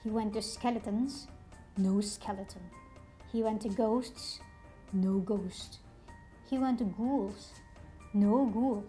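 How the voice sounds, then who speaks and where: A woman reading a children's picture book aloud expressively, in a deliberate, animated storytelling manner. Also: medium pitch, faint music behind.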